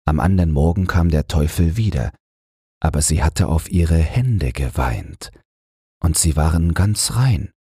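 A man narrating a fairy tale as a bedtime audiobook, in a low, husky, breathy voice. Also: expressive, measured pace, warm tone, no room sound, clean studio sound.

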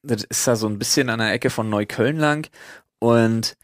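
A man's voice: medium pitch, normal speed. A man chatting casually as a podcast host, telling stories in a slangy, sweary, mock-exasperated way. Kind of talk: casual chat, storytelling.

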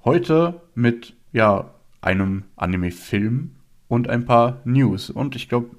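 A man's voice: medium pitch, lively intonation, takes it slowly. A man co-hosting a casual podcast chat, sounding a little stuffed up because he has a cold. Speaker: podcast co-host.

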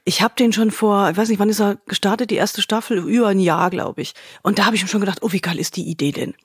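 A woman's voice: medium pitch, fast, husky, no echo, clean studio sound. A woman co-hosting a podcast in a casual, chatty, effusive way, repeating words for emphasis, with the ease of a radio veteran.

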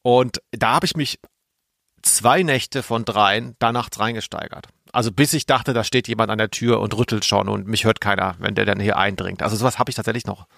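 A man in his thirties chatting casually and humorously as a podcast co-host, in a halting, amused way. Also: fairly low pitch, vocal fry, a bit bright, no echo, studio quality. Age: 30s.

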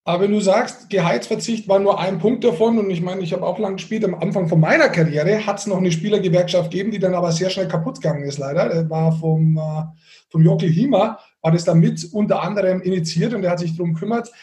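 Man, high pitch, conversational podcast interviewer, opinionated, long-winded questions. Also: quick pace, a little mellow. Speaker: podcast co-host, former player.

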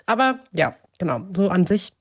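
A woman's voice: on the low side, big pitch swings, normal speed, a little hiss or hum. A woman co-hosting a podcast, chatting casually and informally with enthusiasm. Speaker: podcast co-host.

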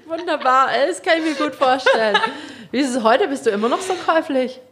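A woman's voice: high-pitched, lively intonation, a little fast, fairly bright tone. Chatty, colloquial woman hosting an interview. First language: bilingual German and Spanish. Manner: chatty, colloquial, often laughing. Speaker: interview host; former professional handball player. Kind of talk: casual conversational interview.

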